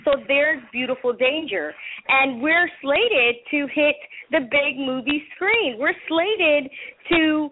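Female interview guest from Panama, Spanish first language, high voice, talkative and enthusiastic, phone-quality sound. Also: slow. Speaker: interview guest, entertainment entrepreneur. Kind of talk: promotional interview talk. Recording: muffled narrow-band phone line.

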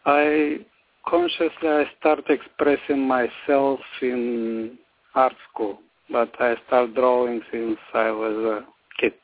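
A middle-aged man from Bulgaria with a Bulgarian accent, giving halting, slow answers with many fillers as an interview guest. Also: medium pitch, flat delivery. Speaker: guest artist. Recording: tinny phone line.

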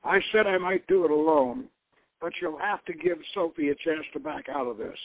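A man playing a gruff, defiant professor and army major in a dramatic novel reading, blunt and snarling. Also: on the high side, normal speed, no room sound, phone-quality sound, pitched above the performer's own voice. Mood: grudging.

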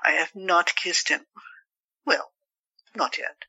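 A woman's voice: on the low side, fairly even intonation, slow pace, bright, forceful tone, husky, no room sound, exaggerated voice, rough recording. A woman playing a protective, skeptical, worried sister in an audio drama, reasoning and pleading. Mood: playful.

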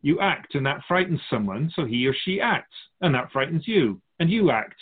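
A man playing an otherworldly, wise spiritual guide in a radio drama, measured and instructive with gentle amusement. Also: on the high side, sing-song, slightly rough. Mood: wise, gently encouraging. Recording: slightly muffled, phone-like narrow sound.

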